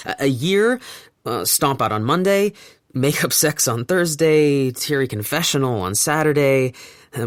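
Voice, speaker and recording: A wry, reflective man , voiced by an actor, talks through the end of a relationship in a hesitant, thoughtful way. His voice sits at an ordinary middle pitch. The sound is dry and close, with no room around it. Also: no room sound, natural voice. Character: wry man newly split from his partner. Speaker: narrator of an audio drama. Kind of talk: first-person audio drama monologue.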